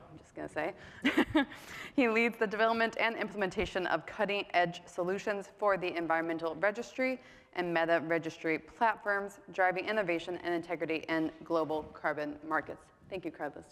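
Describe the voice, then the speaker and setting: A woman's voice: on the low side, a bit bright. A woman moderating a conference panel, introducing speakers in a chatty way with frequent ums and self-deprecating asides. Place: conference hall. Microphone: mic on stage. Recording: echoey hall.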